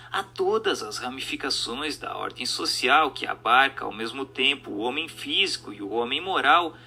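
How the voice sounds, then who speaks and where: A man with a Brazilian accent reading a scripted text in an emphatic, declamatory, didactic manner. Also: a little high. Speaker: narrator. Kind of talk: scripted narration of a spiritist text. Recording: music bed under the voice. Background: music.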